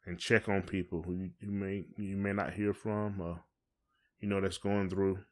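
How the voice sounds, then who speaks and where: A man's voice: sits low, flat delivery, normal speed. An American man co-hosting a podcast, talking in a casual, informal and colloquial way. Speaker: podcast co-host. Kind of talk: casual conversational chat.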